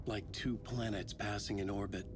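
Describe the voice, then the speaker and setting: Video game lead, male actor: weary haunted novelist, low register, terse noir monologue, prominent music underneath. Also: steady tone, moderate pace, lightly acted. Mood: brooding. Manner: grave, terse, dramatic phrasing. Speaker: protagonist narrator. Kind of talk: brooding first-person noir narration. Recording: loud music behind the voice. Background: loud music.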